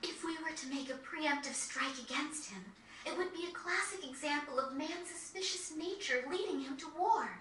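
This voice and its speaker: A woman playing a poised, principled young noblewoman, speaking formally and deliberately in a video game cutscene. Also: sits high, fairly bright tone. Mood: solemn, resolute. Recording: roomy, distant game capture with faint noise.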